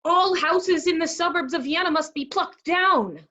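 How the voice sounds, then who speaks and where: A woman's voice: very high voice, normal speed, sharp tone, lo-fi sound. A woman playing a cheeky, streetwise male servant in a staged Shakespeare comedy reading, with jesting patter.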